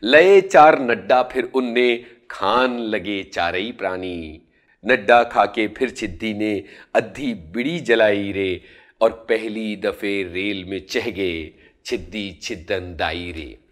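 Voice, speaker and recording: Low-voiced man reciting folksy verse. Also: slow pace. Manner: expressive, sing-song recitation with dialect verse. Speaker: radio host reciting a poem. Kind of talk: storytelling poetry recitation. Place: indoor studio room. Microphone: lapel mic.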